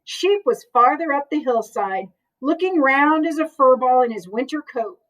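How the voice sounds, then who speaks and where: A woman's voice: high-pitched, expressive, normal speed, a bit bright, distant mic, rough recording. A woman reading a storybook aloud to children, with casual asides to her listeners.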